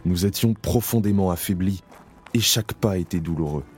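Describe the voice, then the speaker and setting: Male narrator, very deep, grave and measured, over a music bed. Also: a little mellow. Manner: slow, solemn, measured. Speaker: narrator playing Isaac, camp prisoner. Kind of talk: audio drama narration. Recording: clear music bed under voice.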